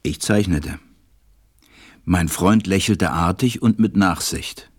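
A man playing a serious stranded pilot who narrates his story in a measured, deliberate way in an audio drama. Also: deep voice, no echo, clean studio sound. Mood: reflective, wry. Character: stranded adult male pilot, serious narrator recalling events.

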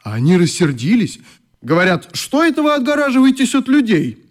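An easygoing leader of a youth club in a radio drama. His voice is high for a man and very lively, swinging through teasing, fond storytelling. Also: fairly quick, full character voice. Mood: amused, teasing. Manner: animated, teasing, mimics people he quotes. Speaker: actor in a radio play.

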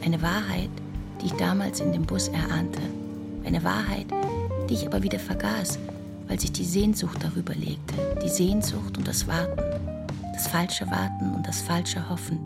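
An actress playing a reflective woman who narrates her memories as a literary radio play monologue, pensive and measured. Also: on the low side, very animated, gravelly, loud music behind the voice. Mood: wistful, reflective.

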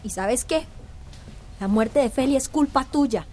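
A woman's voice: sits high, unhurried, a little mellow, slight background noise. A woman playing a defiant, emotional young village daughter with a Central American accent in a radio drama. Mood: defiant, accusing. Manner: earnest, emotional.

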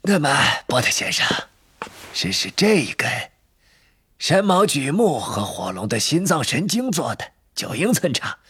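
An eccentric old wandmaker from a storybook. His voice is very breathy and husky. He speaks slowly and theatrically, drawing out words and swooping widely in pitch. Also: big pitch swings, fairly bright tone, heavily acted. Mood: brisk, muttering. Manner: slow, drawn-out, swooping delivery with muttered asides.

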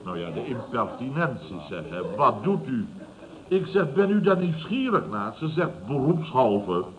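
A man's voice: medium pitch, very animated, a little slow, a crowd in the background. An actor in his fifties playing a self-satisfied, blustering building boss in a vintage radio comedy, chuckling and repeating himself. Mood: blustering, mocking. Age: 50s. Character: gruff, self-satisfied older male building boss telling tales. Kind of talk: 1970s Dutch radio comedy acting. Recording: muffled, noisy vintage radio recording.

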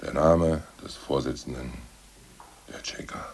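An actor playing a brooding, ousted aging party official in a radio drama, who recites poems in a slow, solemn drone. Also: male voice, a bass voice, steady tone, a little mellow, breathy edge, full character voice. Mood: solemn reciting. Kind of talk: 1980s radio drama acting.

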